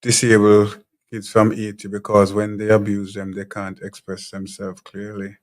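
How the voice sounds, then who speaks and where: A man's voice: lowish voice, completely flat delivery, normal speed. Blunt Jamaican man hosting a talk show. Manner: blunt, combative replies to commenters; loose, repeats and restarts phrases. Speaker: show host. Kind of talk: live talk show, reading viewer comments aloud.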